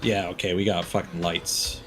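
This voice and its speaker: A man's voice: deep voice, little pitch movement, moderate pace, over music. A man streaming a video game with casual, reactive commentary, thinking aloud and swearing freely. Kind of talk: casual live gameplay commentary.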